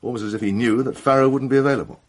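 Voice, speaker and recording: A man's voice: mid-range voice, fairly even intonation, racing, close to an everyday voice. An actor playing an evasive but blunt film crew man in a radio drama, hesitant and defensive in colloquial talk.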